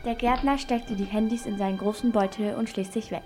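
A woman's voice: a little high, steady tone, brisk, music in the background. A female narrator telling a scripted story in a children's radio play.

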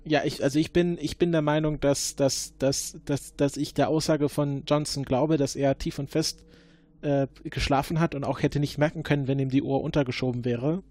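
A man improvising questions and deductions in a role-play investigation, haltingly repeating words as he thinks. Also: a little high, steady tone, dark tone. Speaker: player questioning suspects in an investigation game.

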